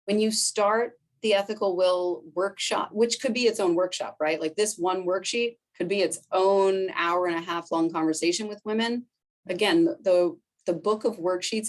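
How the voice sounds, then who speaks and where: A woman in her forties with a Boston accent talks through a conference presentation in a loose, chatty way. Her voice sits a little low, and she keeps checking in with the room as she goes. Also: fairly even intonation, moderate pace, poor audio. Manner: chatty, conversational, fillers like "right?" and "like". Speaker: foundation director presenting to colleagues.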